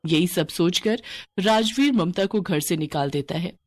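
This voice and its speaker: A woman's voice: lowish voice, expressive, a little slow, darkish tone. A woman narrating a scripted story as its storyteller.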